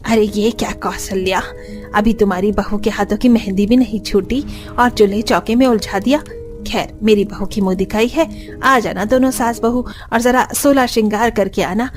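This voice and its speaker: A woman's voice: medium pitch, moderate pace, soft background music, lightly acted. A woman playing a boastful, condescending rich middle-aged mother-in-law in a cartoon story. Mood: mocking.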